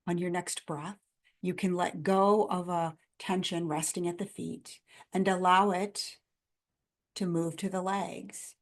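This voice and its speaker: A woman guiding a body scan meditation in a gentle, calm, instructional manner, in measured phrases with pauses between them. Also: lowish voice. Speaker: meditation guide.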